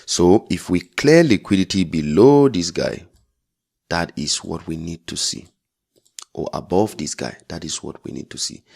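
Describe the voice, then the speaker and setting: A man's voice: sits low, gravelly, darkish tone. A man giving an informal trading tutorial as an instructor, speaking bluntly and emphatically, repeating phrases for stress and adding check-in tags. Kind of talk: informal tutorial explaining a chart.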